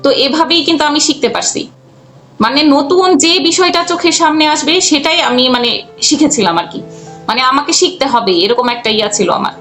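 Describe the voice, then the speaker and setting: Young Bangladeshi woman, very high voice, conversational interview guest, faint music underneath. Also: normal speed, a bit bright. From Jamalpur, Bangladesh. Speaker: interview guest, freelance cartoonist.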